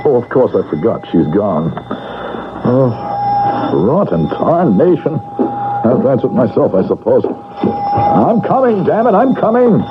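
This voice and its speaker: An actor playing an irascible, grumbling old man with a grudging soft heart, in old-time radio drama style. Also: medium pitch, very animated, measured pace. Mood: irritable. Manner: grumbling, curt, barking. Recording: muffled, noisy 1970s radio recording.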